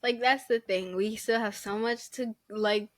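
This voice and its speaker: A woman's voice: medium pitch, steady tone, unhurried, no echo. A young woman in casual podcast chat, frank and musing, often trailing off mid-thought. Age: young adult. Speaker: podcast co-host.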